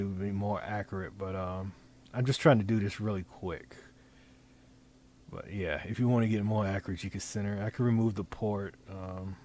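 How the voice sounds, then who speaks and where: A man talking through an informal software demonstration, improvising in a halting way as he thinks aloud and repeats phrases. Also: lowish voice. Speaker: presenter demonstrating design software. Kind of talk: informal screen-recording tutorial, improvised.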